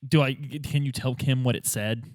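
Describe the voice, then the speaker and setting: A man's voice: medium pitch, normal speed, dry recording. A man playing a tabletop game, reacting in casual, joking banter. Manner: animated, reactive.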